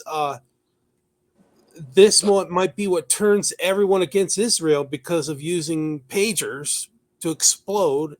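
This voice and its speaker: A man hosting a talk show, giving conversational news commentary partly read from notes, thinking aloud as he goes. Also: sits high.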